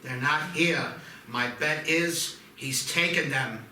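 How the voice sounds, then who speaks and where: A man playing an earnest, alarmed doctor in a screenplay read, explaining gravely and haltingly. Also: fairly high pitch, sharp tone, slight background noise, full character voice. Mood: urgent, alarmed. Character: earnest, alarmed male doctor warning of evil. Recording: echoey room, distant mic.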